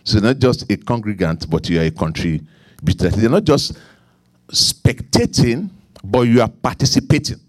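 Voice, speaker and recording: Nigerian-accented pastor preaching, dry sound. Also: male voice, on the low side, lively intonation, normal speed, no room sound. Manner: preaching with repetition and asides, swinging from emphatic to quiet. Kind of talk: sermon.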